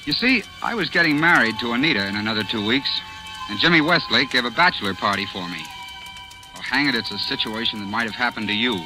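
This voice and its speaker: Casting: man to play earnest young groom, protesting with very mobile pitch, 1940s radio drama with music behind. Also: lively intonation, fast, natural voice, poor audio. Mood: insistent, rueful. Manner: protesting, pleading, defensive. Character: earnest, harried young bridegroom telling his story.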